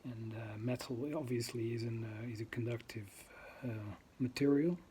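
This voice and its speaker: A man's voice: medium pitch, fairly even intonation, normal speed, dark tone, slight background noise. A man from the Netherlands with a Dutch accent, talking to camera in his first vlog, hesitant and full of ums and uhs as he searches for words. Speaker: vlogger. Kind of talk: unscripted talk to camera.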